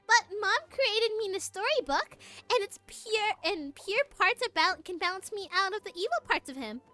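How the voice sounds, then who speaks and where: A woman voicing a small, gentle young storybook dragon in a game, earnest and hesitant, stumbling over words. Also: extremely high pitch, animated, normal speed, heavily acted. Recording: music playing behind the voice.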